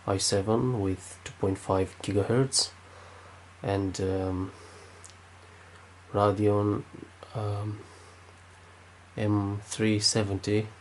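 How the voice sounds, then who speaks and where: A man's voice: fairly low pitch, little pitch movement, very slow, long pauses, a little hiss or hum. A man with a Romanian accent, speaking unscripted with many fillers and restarts while demonstrating something at his desk. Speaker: tech video maker.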